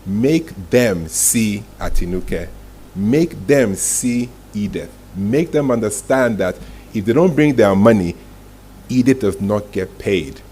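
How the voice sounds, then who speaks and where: A man giving a live motivational masterclass to an audience, speaking emphatically and deliberately and repeating key phrases. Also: lowish voice, lively intonation, dark tone. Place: indoor event room with audience. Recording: noisy live event room.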